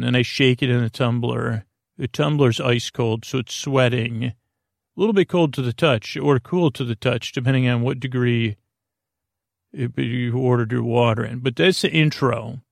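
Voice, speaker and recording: A man with a creaky voice hosting a bedtime podcast, talking in a meandering, halting, digressive monologue. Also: medium pitch, no room sound, clean studio sound. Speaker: podcast host.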